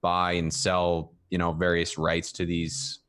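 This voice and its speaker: A man co-hosting a casual crypto podcast, thinking aloud in a measured, hesitant way. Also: low voice, monotone, fairly bright tone, smooth, no echo, clean studio sound. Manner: measured, reflective, some hesitation. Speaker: podcast co-host. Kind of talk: casual panel discussion.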